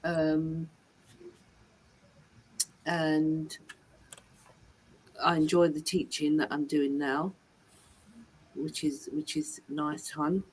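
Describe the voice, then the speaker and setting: A woman's voice: deep voice, little pitch movement, very slow, long pauses, slight background noise, rough recording. A woman from England, tutoring a learner one to one and repeating and rephrasing her questions patiently. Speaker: English tutor. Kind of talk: online one-to-one English lesson.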